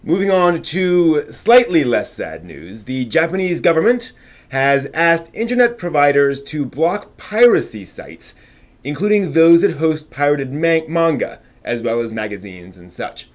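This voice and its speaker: A man presenting news as a live stream host in an informal way, with somewhat halting delivery. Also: a little high, big pitch swings.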